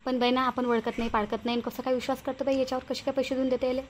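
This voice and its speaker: A woman's voice: high-pitched, little pitch movement, very fast, a little hiss or hum, lightly acted. A woman voicing a cautious, bossy village woman in a Marathi cartoon, with a rural Vidarbha accent and a homely, questioning way of talking. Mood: wary. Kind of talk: Marathi cartoon moral-story dialogue.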